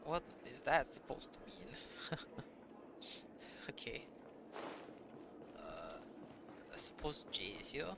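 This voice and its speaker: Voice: mid-range voice, sing-song, takes it slowly, vocal fry, long pauses, background noise. A streamer giving casual live commentary on a game, joking, reacting and swearing freely. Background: loud game music.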